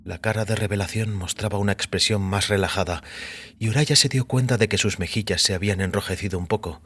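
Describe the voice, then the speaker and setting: A man narrating scripted audio fiction in a measured, literary delivery. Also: lowish voice, a bit bright.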